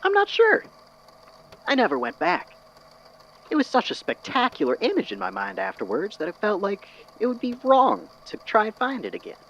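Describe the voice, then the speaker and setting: Audio drama role, acted: wistful, formal thinker, very animated intonation, faint noise behind. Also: medium pitch, vocal fry, lo-fi sound, heavily acted. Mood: wistful, musing. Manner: measured, formal, musing phrasing. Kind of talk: fan audio drama acting.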